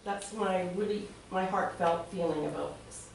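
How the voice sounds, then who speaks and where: A woman, a local resident, speaking earnestly at a public meeting, pausing often with ums. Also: on the low side, vocal fry, a little hiss or hum. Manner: earnest, hesitant with frequent ums. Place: council chambers. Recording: echoey chamber, distant mic.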